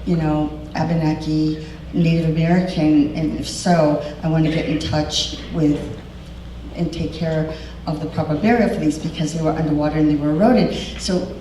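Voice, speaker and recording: A woman hosting a community event and speaking loudly to an audience, chatty and rambling, with many fillers. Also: sits low, fairly even intonation, moderate pace. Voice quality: loud voice. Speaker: event host and diver. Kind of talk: casual public speech to an audience. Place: large echoey hall with an audience. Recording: echoey hall, voice from far off, faint noise.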